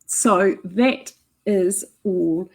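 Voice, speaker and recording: A middle-aged woman with a broad New Zealand accent chats to camera from her yarn shop. Her voice sits at an ordinary pitch with a husky, slightly breathy edge. She talks in an eager, rambling way, with lots of ums and restarts. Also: very slow. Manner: chatty, enthusiastic, many fillers and restarts. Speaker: yarn shop owner and knitting vlogger. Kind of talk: casual chat to camera.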